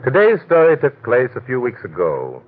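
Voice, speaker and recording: An actor playing a suave, assured amateur detective in an old-time radio drama, speaking articulately and deliberately. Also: male voice, medium pitch, wildly swooping pitch, slight background noise. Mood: composed explaining. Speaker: detective and story narrator. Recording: muffled vintage radio recording.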